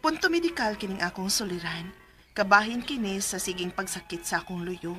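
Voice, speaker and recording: A woman's voice: medium pitch, animated, brisk, bright, forceful tone, faint music behind. A woman with a Cebuano Filipino accent playing a weary but determined widowed mother in a radio drama, plaintive and emotional. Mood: solemn narrating. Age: 30s.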